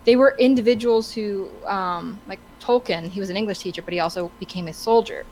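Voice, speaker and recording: Halting woman podcaster over background noise. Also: medium pitch, a little mellow, low-quality recording. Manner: halting, stammering, searching for words. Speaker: podcast co-host. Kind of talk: casual opinionated discussion.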